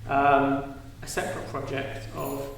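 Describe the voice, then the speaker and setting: Flat-voiced man in an echoey hall. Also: medium pitch, unhurried, vocal fry. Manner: careful, hedged phrasing with fillers. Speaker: panel speaker. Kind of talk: debate panel answer. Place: large university auditorium. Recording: echoey hall, far from the mic, faint hiss.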